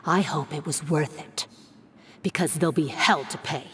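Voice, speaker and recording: A woman's voice: low-pitched, normal speed, fairly bright tone, a little hiss or hum. A woman voicing a stern, scornful enforcer in a video game, cutting and sardonic.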